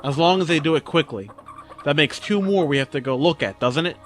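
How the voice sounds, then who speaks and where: A man playing a gruff, impatient farm overseer in an audio drama, curt and grumbling. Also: a little high, brisk, fairly bright tone, faint music behind, close to an everyday voice. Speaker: voice actor.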